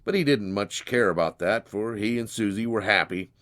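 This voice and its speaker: A man narrating a scripted folk tale as a storyteller, in a folksy, expressive, dramatized way. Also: fairly low pitch, moderate pace.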